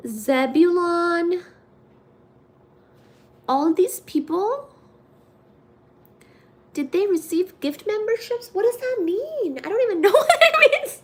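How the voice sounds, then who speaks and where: A woman in her thirties from India, chatting casually with her viewers on a livestream, giggly and quick to get excited reading the chat. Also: high voice. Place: home room with cat shelves.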